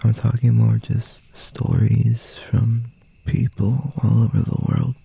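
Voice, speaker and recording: Man playing a slow, husky, whispery boyfriend. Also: level tone, heavily acted. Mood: musing. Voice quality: soft, breathy, husky, gravelly low murmur. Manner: unhurried, rambling, reassuring, with pauses. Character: gentle, teasing young boyfriend comforting a scared partner. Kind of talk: intimate ASMR boyfriend roleplay.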